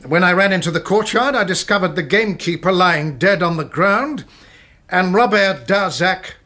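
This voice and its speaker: A man's voice: sits high, expressive, moderate pace, sharp tone. A man acting in a radio drama as a hard, self-assured police inspector, firm, formal and clipped.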